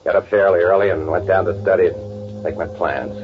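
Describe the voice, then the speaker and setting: A man acting as a panicky, guilty narrator in old-time radio drama, nervous and halting under pressure. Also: low-pitched, natural voice. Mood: calmer. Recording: phone-like old radio recording with loud music.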